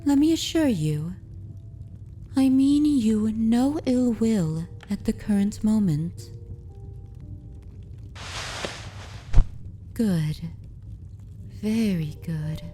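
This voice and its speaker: A woman playing an aristocratic vampire mistress in an ASMR roleplay, predatory yet consoling, speaking formally and deliberately in a soothing way. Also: a little high, warm tone. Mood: soothing, reassuring. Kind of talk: ASMR roleplay, scripted dramatic monologue.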